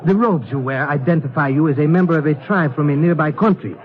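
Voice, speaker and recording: An actor playing a courteous, watchful Middle Eastern guide in a radio drama, with formal, precise phrasing. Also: male voice, on the high side, fast talker, close to an everyday voice. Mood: explaining. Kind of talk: old-time radio drama acting. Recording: tinny, band-limited old radio recording.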